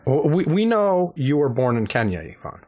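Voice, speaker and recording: A man's voice: a little high, very animated, moderate pace, narrow, tinny audio. A man hosting a podcast in casual chat, making dry jokes and steering back to the agenda. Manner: dry joking, frequent "yeah" and "anyway". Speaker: podcast host.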